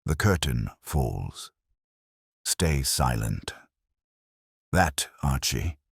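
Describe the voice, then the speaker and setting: A man's voice: a bass voice, sing-song, drawn-out words, gravelly, breathy edge, dry recording, clean studio sound, heavily acted. A man voicing a pompous, brilliant private detective who speaks in formal, grand pronouncements in an audiobook story. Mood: grave.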